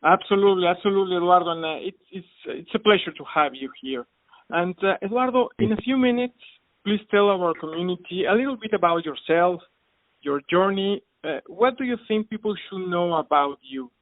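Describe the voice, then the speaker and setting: A man's voice: sits high, fairly quick, no room sound. A man hosting a podcast, welcoming his guest and asking open questions. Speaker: podcast host. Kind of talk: podcast interview. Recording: dry phone-like line.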